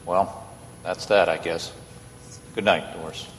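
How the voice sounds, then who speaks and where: A man's voice: sits low, fairly even intonation, slow, very noisy. A man acting an idealistic, earnest lawyer in an old-time radio play, speaking persuasively. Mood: hurt, resigned. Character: idealistic, earnest male lawyer with stubborn faith. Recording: reverberant hall, stage radio play.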